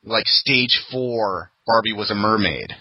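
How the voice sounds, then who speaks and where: A man's voice: lowish voice, slow, pushed and bright, slightly rough, dry recording, rough recording. Laughing, talkative male podcast host. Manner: laughs often, rambling anecdotes. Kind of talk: casual chat.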